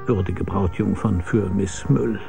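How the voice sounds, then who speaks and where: An actor playing a weary, laconic, deadpan hard-boiled private detective who narrates his case in a radio crime drama. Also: deep voice, unhurried, gravelly, faint music behind, exaggerated voice. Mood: grim, deadpan.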